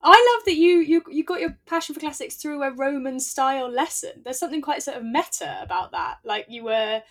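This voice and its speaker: A woman co-hosting a podcast, reacting with warm enthusiasm in casual chat. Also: high voice, expressive, fairly quick.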